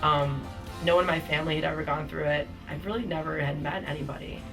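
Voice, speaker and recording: Chatty young woman, low voice, distant mic. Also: fairly even intonation, fast, over music. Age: 20s. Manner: chatty, with frequent fillers and small laughs. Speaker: cancer survivor giving a testimonial. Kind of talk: casual personal testimonial.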